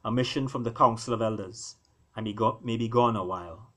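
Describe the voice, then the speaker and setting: A man voicing a dutiful lion prince in a storybook, a serious, tender husband who speaks in short, sober, measured sentences. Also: on the low side, a bit bright, pitched below the performer's own voice. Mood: serious, apologetic.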